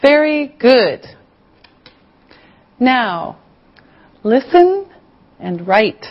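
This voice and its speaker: Woman playing english teacher, higher voice, super slow careful dictation, bit lo-fi. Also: very animated, full character voice. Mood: patient, instructive. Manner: deliberate, carefully enunciated instruction. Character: encouraging female English teacher leading a beginner class. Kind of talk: scripted classroom lesson with dictation. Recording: slightly dull lo-fi audio.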